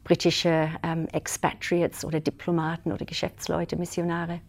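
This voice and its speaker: A middle-aged woman from England, telling her life story as an interview guest in a lively way, with frequent laughs and fillers. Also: on the low side, moderate pace, gravelly.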